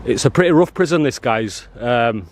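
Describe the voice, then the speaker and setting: A man filming outside a prison, talking loudly and bluntly to the officers and to his viewers. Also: mid-range voice, animated, normal speed. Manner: loud, blunt and challenging, calls people "love" and "mate". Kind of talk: confrontational street filming with commentary to viewers.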